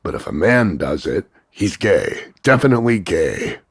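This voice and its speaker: A man voicing a cocky, crude macho action hero, gravelly and husky, delivering smug deadpan one-liners in a slow drawl of punchlines. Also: deep voice, no echo, clean studio sound, full character voice. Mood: deadpan, mocking. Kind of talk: voice-over demo of insults and one-liners.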